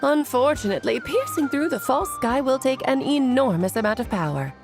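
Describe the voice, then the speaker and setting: Theatrical, high-voiced great mage. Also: female voice, lively intonation, brisk, vocal fry, over music. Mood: thoughtful, instructive. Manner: theatrical, breezy, lecturing. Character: playful, self-assured great mage woman, motherly and teasing. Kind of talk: scripted video game character dialogue.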